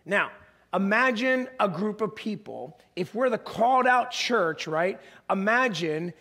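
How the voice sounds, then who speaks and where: A middle-aged man preaching with a naturally gravelly voice, roughened further by hoarseness. He talks in a slightly high register, pacing the stage, and builds to emphatic, animated peaks. He sounds close on a body mic in front of a large congregation. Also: a little slow. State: hoarse, voice strained. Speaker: pastor. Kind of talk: sermon. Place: large church stage with an audience.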